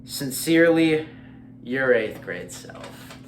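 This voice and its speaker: A man's voice: medium pitch, expressive, takes it slowly, slight background noise. A young American man in his late teens chatting casually as a vlogger, in a rambling, hesitant way that often circles back on itself. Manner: hesitant, rambling, repeats himself. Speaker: vlogger and streamer. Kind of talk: casual chat to camera, reading and reacting to a letter.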